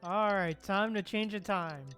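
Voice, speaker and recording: A woman streamer reacting casually to a game in short, drawn-out, laid-back remarks. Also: medium pitch, fairly bright tone, soft background music. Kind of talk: casual offhand reactions while gaming.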